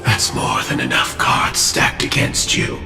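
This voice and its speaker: The slow, coldly taunting dark figure who looms over the hero in a game's dream sequence, fatalistic words echoing a man acting. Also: lightly acted. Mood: scornful. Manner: ominous, deliberate, taunting. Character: grim, fatalistic male harbinger of death, coldly mocking. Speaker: voice actor in a game cutscene. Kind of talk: scripted dramatic game dialogue. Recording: echoey space under clear music.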